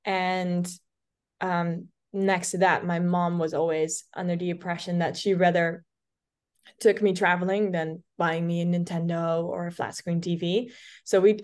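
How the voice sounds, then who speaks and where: A woman's voice: fairly low pitch, darkish tone. A woman in her twenties from the Netherlands with a light Dutch accent, whose first language is Dutch, telling stories as a podcast guest with plenty of restarts. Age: 20s. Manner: chatty storytelling, many restarts and fillers. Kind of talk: casual podcast conversation.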